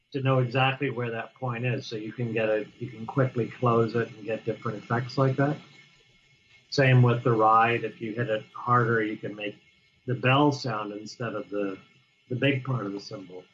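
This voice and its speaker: A man talking casually as an interview guest, halting and restarting often as he explains how he plays drums. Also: medium pitch, fairly even intonation, fairly bright tone, highs cut off. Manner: halting, many restarts and fillers. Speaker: guest drummer.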